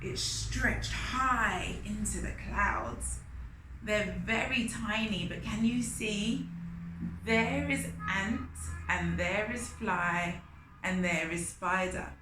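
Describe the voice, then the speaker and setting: A woman's voice: medium pitch, lively intonation, takes it slowly, music in the background. A woman reading a picture book aloud to children, expressively, with asides and questions to her young listeners. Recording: roomy echo, faint background noise.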